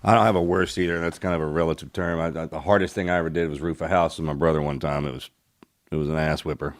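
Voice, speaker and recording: A man's voice: rumbling low, steady tone, dry recording. A man hosting a podcast interview, chatting loosely and often calling his guest "man". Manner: fast, loose, says "man" a lot. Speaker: podcast host. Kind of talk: casual interview talk.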